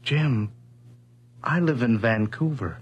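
An actor playing an outwardly reasonable, evasive friend in a radio horror drama, hesitant and soothing. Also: male voice, medium pitch, slow pace, slightly rough, dull audio. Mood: puzzled, placating.

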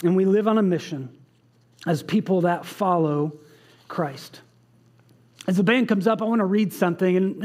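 A man's voice: high-pitched, a little mellow. A man in his thirties, a pastor preaching on a church stage, earnestly reading aloud with asides. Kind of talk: sermon. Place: church stage with band behind.

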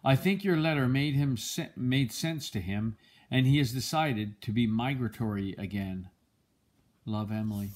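An older man with a husky voice reads a children's picture book aloud in a gentle, measured storytelling manner. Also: mid-range voice. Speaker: storybook reader, a grandfather.

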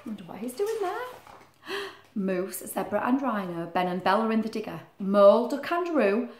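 Very lively, high-voiced woman reading to children. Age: middle-aged. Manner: expressive, slow and deliberate in reading, questioning the children. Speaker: storyteller reading a picture book to children. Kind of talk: animated children's story read-aloud with chatty asides. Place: small living room, indoors. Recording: roomy echo of a living room.

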